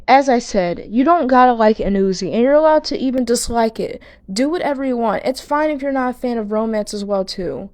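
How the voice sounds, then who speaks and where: A woman's voice: a little high, darkish tone, no room sound. A woman giving video essay commentary to viewers, speaking earnestly and now and then stumbling over words. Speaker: video creator and host.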